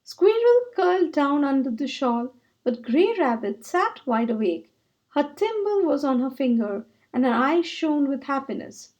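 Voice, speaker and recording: A woman's voice: sits high, big pitch swings, a little slow, a little mellow, poor audio. A woman narrating a children's book read aloud as a storyteller.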